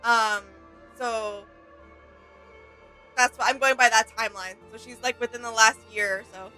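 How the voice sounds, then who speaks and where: Young female co-host, high-pitched, casual chat, music in the background. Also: steady tone, unhurried, sharp tone. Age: young adult. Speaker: reaction video co-host. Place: indoor home studio room.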